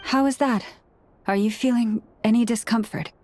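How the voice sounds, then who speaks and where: A woman voicing a gentle, earnest young moon priestess in a video game, speaking softly and with measure. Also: fairly high pitch, heavy background noise, close to an everyday voice. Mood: hesitant, caring. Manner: soft-spoken, earnest, measured.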